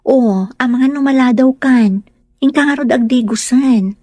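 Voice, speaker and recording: A caring, gently nagging young fiancée in a radio drama, played by a woman. Her voice is soft-toned and mellow, with a dry, close sound. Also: high-pitched, unhurried, no room sound. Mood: tender. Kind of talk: Ilocano radio-style drama dialogue.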